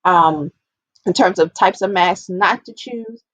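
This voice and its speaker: A woman's voice: on the low side, slow pace, a bit bright, no room sound. A woman giving an informal, explanatory public health presentation, stressing and repeating her key points as an educator.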